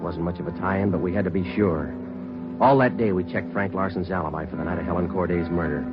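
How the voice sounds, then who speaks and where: An actor playing a terse, businesslike police detective and narrator in 1950s radio drama style. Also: male voice, sits low, lightly acted. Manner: terse, deadpan. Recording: dull old radio recording with loud music.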